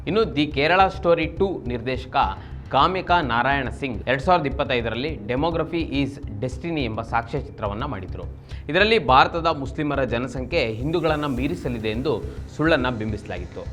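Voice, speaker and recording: A man's voice: mid-range voice, expressive, moderate pace, a bit bright, soft background music. A man from Karnataka presenting a scripted political news explainer, formal and expository, addressing viewers directly. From Karnataka, India.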